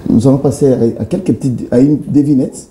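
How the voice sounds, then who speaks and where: A man's voice: medium pitch, dark tone. A man hosting a TV talk show, playful and laughing as he poses riddles to his guests. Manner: playful, laughs often, repeats questions slowly. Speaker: TV show host. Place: TV studio. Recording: echoey studio, faint background noise.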